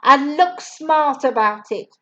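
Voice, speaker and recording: A woman voicing a bullying, brawny headmistress who barks and shouts insults, pitched well above her own reading voice. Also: lively intonation, slow, muffled recording, heavily acted. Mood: furious.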